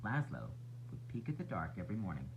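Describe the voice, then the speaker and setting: A man reads a picture book for small children. His pitch swings widely, and he paces phrases deliberately with dramatic pauses. The recording is muffled, with noticeable background noise. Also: big pitch swings, creaky. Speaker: storytime reader. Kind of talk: expressive picture book read-aloud for young children.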